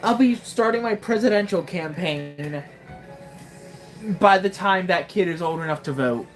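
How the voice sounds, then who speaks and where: A woman's voice: lowish voice, unhurried, faint music behind. A woman in her twenties casually commenting on her gameplay during a live stream, wry and joking, often exclaiming to herself. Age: 20s.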